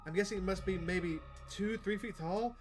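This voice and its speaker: A man's voice: high voice, moderate pace, over music, pitched above the performer's own voice, lightly acted. A man voicing a chatty, upbeat outdoorsy woman who hunts cryptids, reading visual novel dialogue aloud. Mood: excited.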